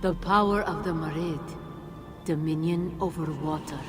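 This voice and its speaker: An actress playing a wise, commanding female jinn in a video game, speaking in a measured, formal, grave manner. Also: on the low side, fairly even intonation, over loud music, lightly acted. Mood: solemn, formal. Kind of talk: video game cutscene acting.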